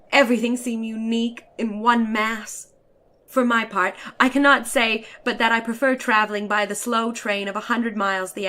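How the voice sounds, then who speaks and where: Woman reading as a snooty chatty lady traveler from a storybook, high voice, very theatrical and wordy. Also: a bit bright, natural voice. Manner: theatrical, emphatic, ornate and declamatory. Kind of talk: dramatic reading of a short story.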